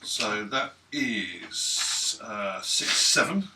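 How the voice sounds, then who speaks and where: A man's voice: on the low side, animated, extremely slow. A man bantering casually as a player at a tabletop role-playing game. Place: around a gaming table in a room. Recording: very noisy, echoey room, distant mic.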